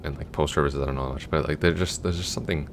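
A man's voice: steady tone, quick pace, background noise. A young man playing a chatty, goofy, affectionate long-distance boyfriend in a roleplay audio, talking casually in a rambling, hesitant way. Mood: rambling, bashful. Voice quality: very deep, gravelly.

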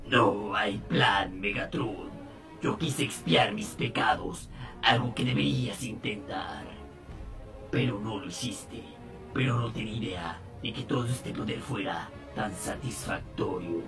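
A man's voice: fairly low pitch, unhurried, gravelly, fairly bright tone, distant mic, soft background music, voice pushed down. A man voicing a gloating robot traitor. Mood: menacing. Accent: Costa Rican. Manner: gloating, menacing. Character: scheming power-hungry male robot traitor.

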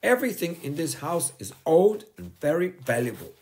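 A man's voice: a little high, sing-song, normal speed, a little mellow, pitched above the performer's own voice. A man voicing a stern, bossy woman schoolteacher who snaps and thunders her orders. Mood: stern, scolding. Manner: snapping, thundering commands.

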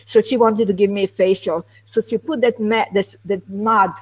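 Older woman, German native, lively storyteller. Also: mid-range voice, moderate pace, low-quality recording. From Romania. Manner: lively, animated storytelling with broken phrasing. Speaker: interview guest. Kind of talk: telling her life story. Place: home living room with people behind.

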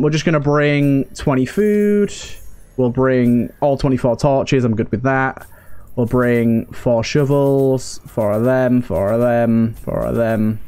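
A man's voice: mid-range voice, a little mellow, clear, resonant tone. A man giving casual let's-play commentary as a host, thinking aloud and repeating himself. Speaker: gaming video host.

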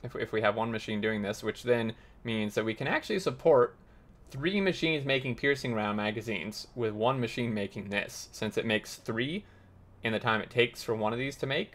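A man hosting a gaming tutorial, slightly raspy as he loses his voice, explaining step by step while thinking aloud with many uhs. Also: fairly low pitch, a bit bright. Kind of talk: explaining gameplay while playing.

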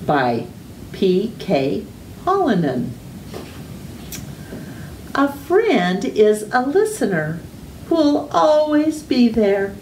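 Very slow, expressive woman reading a storybook. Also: medium pitch. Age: middle-aged. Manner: deliberate, exaggerated storytime delivery with drawn-out words. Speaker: storytime host reading to children. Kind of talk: expressive read-aloud of a children's picture book. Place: living room at home. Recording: roomy echo, distant mic, faint noise.

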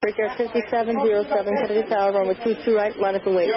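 Tower controller, quick and clipped delivery, dulled radio sound. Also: medium pitch, level tone, husky. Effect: aviation radio. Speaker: air traffic tower controller. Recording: muffled air traffic radio.

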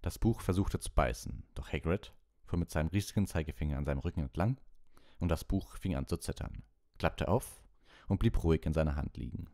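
A man's voice: extremely low pitch, fairly quick, warm tone, no room sound. A man reading an audiobook as scripted storybook narration, close to the microphone. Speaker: audiobook reader.

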